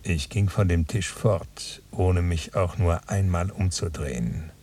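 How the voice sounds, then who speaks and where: An actor playing a weary gambler who tells of his night at the dice table in a terse, dry radio drama monologue, with a husky voice. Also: low-pitched, slow, darkish tone, recorded from a distance, full character voice. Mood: exhausted. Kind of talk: radio drama narration, first-person monologue.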